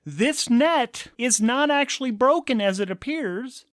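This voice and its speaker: A man's voice: very high-pitched, lively intonation, measured pace, no room sound, studio quality. A man narrating a solo tabletop game casually, thinking aloud as he switches between rules and story.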